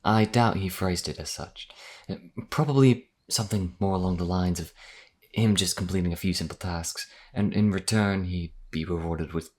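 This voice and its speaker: A man's voice: moderate pace, close to an everyday voice. A man playing a modest, earnest vampire wanderer in a fantasy audio roleplay, explaining things in a hesitant, conversational way. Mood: grave, explanatory. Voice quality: deep, husky.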